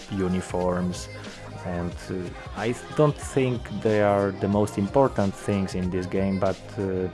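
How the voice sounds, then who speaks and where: Young Polish-accented gamer, deep and unhurried, hesitant and full of fillers, music underneath. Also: male voice. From Poland. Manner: hesitant, many filler sounds. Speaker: gaming YouTuber. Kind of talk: game update commentary.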